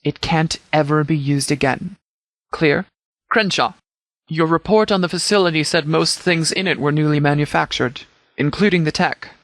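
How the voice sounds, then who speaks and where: A man playing a composed, pragmatic military commander in a video game, speaking in a formal, measured, tactical way. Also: a little high, a bit bright, no room sound. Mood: firm, measured. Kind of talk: video game dialogue acting.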